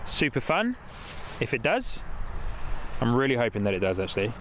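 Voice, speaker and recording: A man from the UK chats enthusiastically while livestreaming planes outdoors. His commentary is casual and rambling at a mid pitch, and faint outdoor noise hums behind him. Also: wildly swooping pitch, moderate pace, a bit bright. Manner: enthusiastic, chatty, reads viewer comments aloud. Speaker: plane spotting livestream host. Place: outdoors near an airport.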